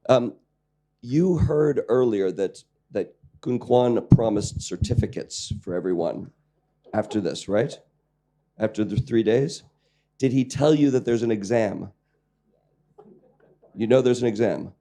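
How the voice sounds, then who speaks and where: A man with a croaky voice giving a lecture to a training class, joking and questioning the audience while clearing his throat. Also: medium pitch, measured pace, pauses often, mellow. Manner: jokey, engaging the audience with questions. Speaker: lecturer on public-private partnerships. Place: lecture room with live audience.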